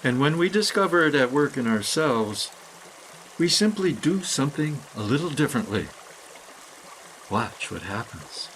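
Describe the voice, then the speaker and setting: A man reading a scripted, poetic guided meditation in measured, deliberate phrases with pauses. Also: mid-range voice, very animated, a bit bright, a little hiss or hum, rough recording. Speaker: guided meditation reader, author.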